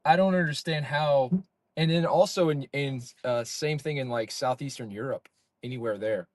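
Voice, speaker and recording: A man's voice: medium pitch, moderate pace, no echo. A man from the United States hosting a casual chat, blunt and loose, often repeating himself. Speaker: host.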